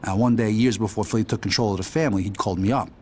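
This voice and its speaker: Low-voiced, world-weary American mobster. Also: male voice, steady tone, very fast, darkish tone, husky. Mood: wry, matter-of-fact. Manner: tough-talking, casual, profane. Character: world-weary wisecracking mobster enforcer recalling his crimes. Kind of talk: hardboiled crime narration.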